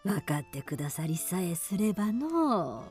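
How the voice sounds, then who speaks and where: A woman's voice: lowish voice, very animated, normal speed, darkish tone, husky, no echo, soft background music. A woman playing a centuries-old, mysterious and stern old mountain woman with archaic, weighty speech in a radio drama. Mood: cryptic, calm.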